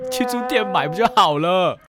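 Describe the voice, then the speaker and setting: A woman's voice: mid-range voice, lively intonation, moderate pace, music in the background. A woman co-hosting a comic radio skit, breaking in with playful, exclamatory protests. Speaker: co-host heckling the story. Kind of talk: playful comedic interjections.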